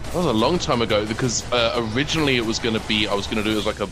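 A man's voice: fairly low pitch, very fast, over music, low-quality recording. A man, chatting casually as an interview guest. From United Kingdom. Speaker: guest, comedian and writer.

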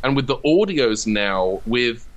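A man's voice: medium pitch, animated, a little slow, muffled recording. A man interviewed as a writer and voice actor, explaining his work reflectively and at length, often restarting his phrases. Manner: reflective, explains at length with repeated false starts. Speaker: guest, comedy writer and voice actor. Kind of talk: conversational interview.

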